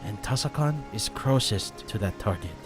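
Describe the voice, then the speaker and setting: A grave young tactician played by a man from a fantasy audio story. His low voice is measured and straightforward, with clear music underneath. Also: animated, natural voice. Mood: analytical, resolved. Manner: blunt, measured. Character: straightforward, grave male strategist, fisherman's son.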